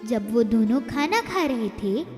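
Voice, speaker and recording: A woman tells a children's moral tale in a high, animated voice, taking her time over each line. Her narration carries a long echo, with faint music underneath. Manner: slow, expressive storybook reading. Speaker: storyteller.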